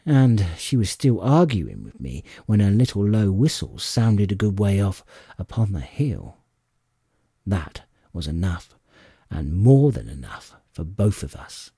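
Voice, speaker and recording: Dry close recording, guy reading an adventure audiobook, really lively pitch, mellow. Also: husky, no room sound. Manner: expressive, measured storytelling. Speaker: audiobook narrator. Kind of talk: dramatic scripted storybook narration.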